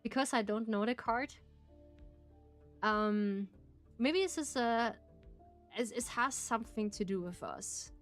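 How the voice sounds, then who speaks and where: A woman's voice: fairly high pitch, slow, long pauses, faint music behind. A woman with a German accent, a native German speaker, streaming and reading a game aloud in careful phrasing with frequent laughs.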